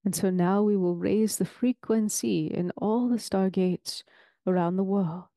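A woman leading a guided meditation in a soothing, deliberate, ceremonial way, with long pauses between phrases. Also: mid-range voice, steady tone, warm tone, no echo, clean studio sound.

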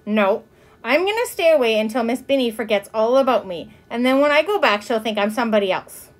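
A woman's voice: on the high side, lively intonation, fairly quick, natural voice. A woman voicing a stubborn, indignant five-year-old girl who protests and insists in a storybook.

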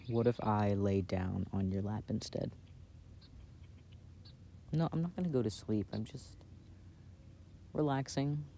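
Male, low mellow voice, playful boyfriend-style ASMR chat, soft-spoken, recorded outside with faint noise behind. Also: creaky. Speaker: audio roleplay performer playing a boyfriend. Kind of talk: intimate ASMR roleplay, casual chat. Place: outdoors. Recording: outdoor recording with background noise. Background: outdoor ambience and noise.

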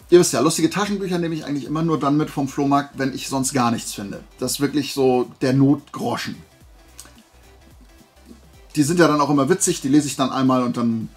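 A man chatting casually and colloquially as he hosts a show-and-tell haul video, with an enthusiastic collector's manner. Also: on the high side. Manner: conversational, colloquial, enthusiastic collector's chat with self-posed questions. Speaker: host of a flea market haul video.

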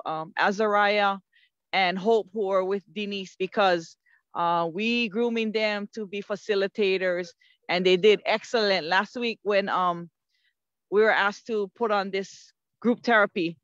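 A woman's voice: mid-range voice, a bit bright, no room sound. A woman in her fifties with a Hawaiian Pidgin-tinged accent, speaking earnestly to a webinar from an outdoor field on her phone, with many "um" fillers. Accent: Hawaiian Pidgin-tinged English. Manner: earnest, frequent um fillers, slows to savor moments. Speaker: community speaker. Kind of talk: casual webinar talk. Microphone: phone held close on a video call. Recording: dry, slightly muffled video call audio.

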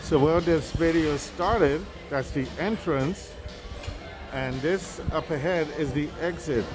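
A man narrating a casual walkthrough of a cruise ship buffet on his phone camera, relaxed, with frequent ums and uhs. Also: a little high, takes it slowly, music in the background. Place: large indoor ship buffet hall. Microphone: handheld phone camera.

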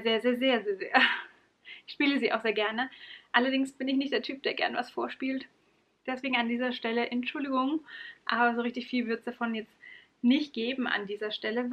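A woman's voice: a little high, muffled recording. A young woman from Franconia with a light Franconian accent, earnestly telling a personal story to camera in a small room. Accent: light Franconian German. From Franconia, Germany. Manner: warm, earnest, stresses words by repeating them. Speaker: vlogger and occupational therapist. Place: small room in front of bookshelves, indoors. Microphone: camera mic, close framing.

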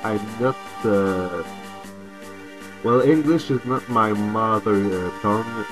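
A man's voice: lowish voice, poor audio. A native French speaker, a man with a French accent, gives casual, hesitant game commentary. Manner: hesitant, halting delivery. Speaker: let's play host. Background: video game music.

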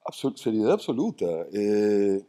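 Middle-aged Argentine man, low dark voice, deadpan, halting, seated stage panel. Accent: Argentine Spanish. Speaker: festival panelist, writer. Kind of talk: onstage conversation.

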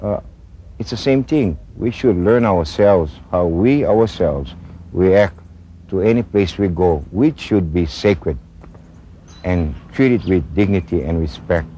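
A middle-aged man from Hawaii, speaking slowly and earnestly in a documentary interview outdoors among trees. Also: low voice, dark tone, a little hiss or hum. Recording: dull, lo-fi 1980s video sound.

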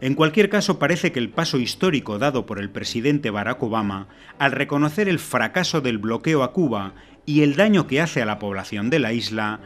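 A man reading a scripted news commentary in a measured, declarative way. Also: medium pitch. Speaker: news narrator.

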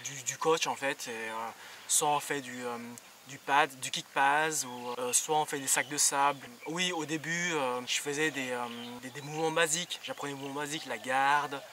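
A young man giving a casual vlog explanation, haltingly and searching for words. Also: medium pitch, steady tone, sharp tone, far from the mic, a little hiss or hum. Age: young adult. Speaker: vlogger.

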